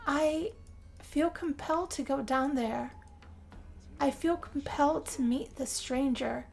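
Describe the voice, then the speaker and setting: A woman telling a first-person role-play story as game narration, theatrical, with drawn-out phrases and pauses. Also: sits high, gravelly, a little mellow, faint music behind. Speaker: gameplay narrator.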